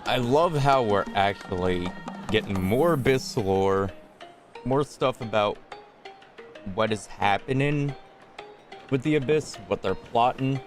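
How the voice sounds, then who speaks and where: A man's voice: mid-range voice, expressive, slow pace, long pauses, soft background music. A man giving casual commentary as he plays through a video game story. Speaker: gamer playing through a story quest.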